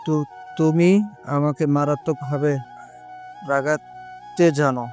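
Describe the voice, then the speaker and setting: A man's voice: on the high side, steady tone, takes it slowly, darkish tone. A man playing an intense, hot-tempered young man in love in a romantic audio drama. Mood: annoyed, intense. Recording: music bed under the voice.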